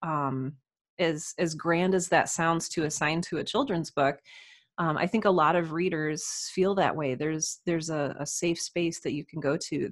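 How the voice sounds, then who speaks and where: A woman's voice: low-pitched, dry recording, studio quality. A woman speaking as a podcast guest in casual interview conversation, reflective and earnest.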